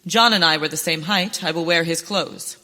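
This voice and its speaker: A woman's voice: fairly low pitch, moderate pace, pushed and bright. A woman playing a determined young rancher turned masked avenger in a western radio play, speaking earnestly and theatrically. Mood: resolute. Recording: reverberant hall, stage performance.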